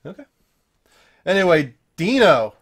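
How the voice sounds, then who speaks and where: A man's voice: high-pitched, big pitch swings, unhurried, husky. A man hosting a podcast in casual conversation, reacting to and prompting his co-hosts. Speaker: podcast host. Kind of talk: casual chat.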